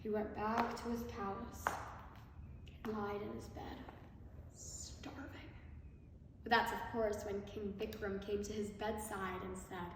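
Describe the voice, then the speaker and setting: A woman telling a folk tale live, in a theatrical manner with deliberate pauses. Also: medium pitch, flat delivery. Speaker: storyteller. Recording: very echoey hall, far mic, noisy.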